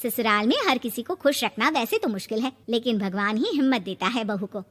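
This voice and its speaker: A woman's voice: a little high, fairly quick, voice pushed down, close to an everyday voice. A woman voicing a bossy, indignant middle-aged mother who admonishes her married daughter in a family comedy story. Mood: advising. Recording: long reverb, music behind.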